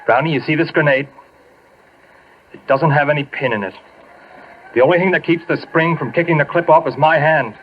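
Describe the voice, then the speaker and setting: An actor playing a gravely wounded, stubborn young American soldier in an old radio war drama. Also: male voice, on the high side, brisk, long pauses, highs cut off, lightly acted. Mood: grimly resolved. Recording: noisy, band-limited old radio recording.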